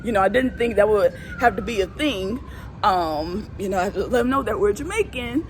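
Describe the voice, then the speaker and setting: A woman's voice: medium pitch, animated, fairly quick. A young woman casually telling a funny childhood story on her vlog, laughing and acting out voices. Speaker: vlogger telling personal stories. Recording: clear background noise, vehicle ambience.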